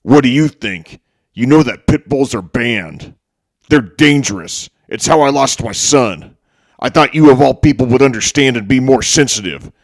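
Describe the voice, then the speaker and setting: A man's voice: mid-range voice, expressive, normal speed, no room sound. A man playing a bitter, grieving, hostile neighbour in a visual novel drama. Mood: bitter, angry.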